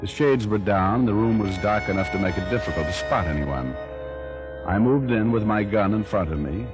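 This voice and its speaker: An actor playing a cool, cocky private detective in a vintage radio noir, sardonic and clipped. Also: male voice, lowish voice, moderate pace, natural voice. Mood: wry narration. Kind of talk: 1940s radio noir acting and narration. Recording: old radio recording with loud music.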